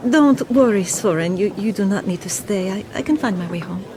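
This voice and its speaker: Actress playing searching wife in audio play, hesitant but stubborn, proper wording, little bit of bg noise. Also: female voice, medium pitch, expressive, a little fast, close to an everyday voice. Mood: hesitant, guarded. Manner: hesitant, earnest, formal diction. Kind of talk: audio drama acting.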